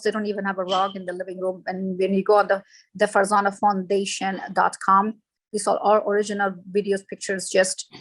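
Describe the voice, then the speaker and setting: A woman's voice: mid-range voice, poor audio. A woman guest in a discussion, speaking emotionally in with broken grammar. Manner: emotional, non-native grammar.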